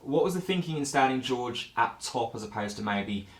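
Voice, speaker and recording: A man's voice: medium pitch, expressive, normal speed, roomy sound. A man working as a sports interviewer, putting detailed post-match questions.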